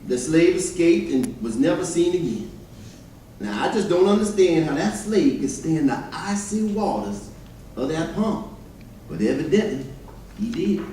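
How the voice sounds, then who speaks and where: A man performs a formerly enslaved man telling his story in plainspoken, folksy dialect. His voice sits high and comes from far off in a very echoey hall, with faint noise around it. It is delivered as a stage monologue. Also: big pitch swings, a little slow, mellow. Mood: storytelling. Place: church hall, recorded from the audience area. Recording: distant mic in echoey hall, background noise.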